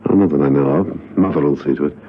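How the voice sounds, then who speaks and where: An actor playing a suave, witty, unflappable British gentleman secret agent in a radio drama, urbane and wry. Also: rumbling low, fast talker. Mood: keen, composed. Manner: urbane, wry, flirtatiously droll. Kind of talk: classic spy radio drama acting. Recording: dull, noisy old radio recording.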